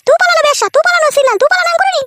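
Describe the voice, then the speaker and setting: Squeaky, shrill, scolding cartoon wife. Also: female voice, rapid-fire, fairly bright tone. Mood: furious. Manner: shrill, scolding, repetitive insults. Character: jealous, foul-mouthed village first wife, quarrelsome and aggrieved. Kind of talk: comic cartoon dialogue.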